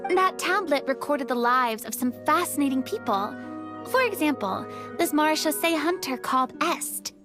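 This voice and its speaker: An actress playing a gentle young woman who tells old tales in a fantasy video game. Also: high-pitched, expressive, normal speed, over music. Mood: admiring, thoughtful.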